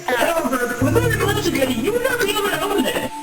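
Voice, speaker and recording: Busy little nonhuman caretaker for a game, formal n dramatic, high voice, echoey over loud music. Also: female voice, big pitch swings, quick pace, far from the mic. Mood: excited, helpful. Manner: formal, precise wording with dramatic exclamations. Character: busy, fussy, excitable nonhuman caretaker entity of a memory space. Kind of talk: game fan dub character acting.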